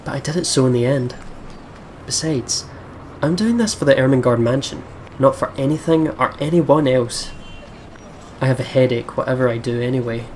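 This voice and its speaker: A man's voice: medium pitch, a little hiss or hum. A man reading a visual novel aloud and reacting in a playful, chatty way, often repeating words. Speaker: let's player reading and reacting. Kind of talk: playful let's play commentary and read-aloud narration. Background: game music.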